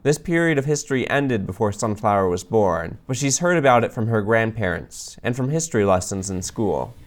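A man hosting a podcast, reading scripted narration in an even, measured way. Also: on the low side. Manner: measured, even read-aloud delivery. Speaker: podcast host, librarian.

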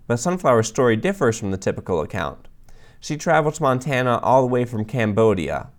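A man hosting a podcast, reading scripted narration in an even, measured way. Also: mid-range voice. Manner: measured, even read-aloud delivery. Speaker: podcast host, librarian.

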